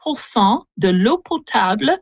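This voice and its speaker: A woman's voice: fairly high pitch, very animated, takes it slowly, slightly rough, dry recording. A woman giving remarks in an interview. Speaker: geographical society executive.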